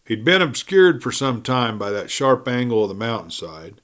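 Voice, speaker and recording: A man's voice: mid-range voice, sing-song, normal speed, a bit bright. A man reading a western story aloud in a folksy storytelling manner, with rustic wording. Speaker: audiobook reader.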